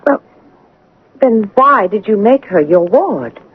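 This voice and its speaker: An actress playing a composed, candid eighteen-year-old orphan governess in a radio drama, speaking formally and earnestly. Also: female voice, medium pitch, sing-song, slow pace, slightly rough, no echo, narrow, tinny audio, heavily acted. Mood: candid, steady. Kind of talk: radio drama acting and first-person narration. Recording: band-limited vintage radio drama broadcast.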